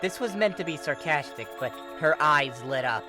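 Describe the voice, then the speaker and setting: A man reading fan fiction aloud as narrator, in an expressive scripted storytelling style. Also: fairly high pitch, moderate pace, music in the background.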